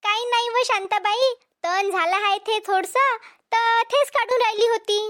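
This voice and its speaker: A woman's voice: very high voice, normal speed, a bit bright. A woman playing a chatty, helpful village farm worker in a cartoon comedy, speaking homely Marathi with a Vidarbha accent. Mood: friendly chatty.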